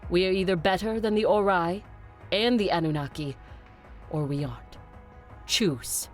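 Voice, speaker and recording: Woman acting a low-voiced, impassioned vampire leader. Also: normal speed, lightly acted. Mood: resolute. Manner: forceful, emphatic oratory. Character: impassioned, fed-up vampire conqueror leader arguing at council. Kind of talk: dramatic audio drama acting. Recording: music under the voice.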